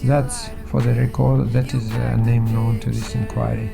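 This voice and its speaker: Man acting a flat, gravelly, halting engineer. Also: medium pitch. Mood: flat, procedural. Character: methodical male engineer dictating forensic records, procedural and shaken. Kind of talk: audio fiction, dictated log. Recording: music behind the voice.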